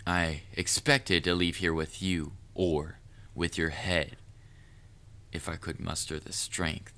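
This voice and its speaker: A man playing a proud, cunning, lovestruck assassin in an audio roleplay, speaking formally and deliberately to the listener with quiet menace. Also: deep voice, level tone, exaggerated voice. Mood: rueful, intrigued. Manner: formal wording, deliberate, measured. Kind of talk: scripted audio roleplay monologue addressed to the listener.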